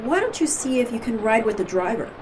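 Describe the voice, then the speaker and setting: A sassy but kind female holidaymaker in a horror radio play. She is breezy and familiar, a little high in pitch, over noticeable ambience. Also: sing-song, brisk. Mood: wry. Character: sassy, kindly wife on holiday, Rose. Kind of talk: horror audio drama acting. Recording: noisy ambience behind.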